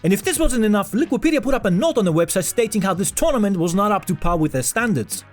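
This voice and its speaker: High-pitched, lively young-sounding man narrating news. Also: fast, soft background music. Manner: sarcastic, jokey, casual with swearing. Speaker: video host. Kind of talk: scripted esports news narration.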